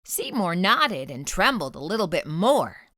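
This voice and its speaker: A woman reading a children's book aloud as a storytime host, with expressive, dramatic storytelling. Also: fairly low pitch, unhurried, no echo, clean studio sound.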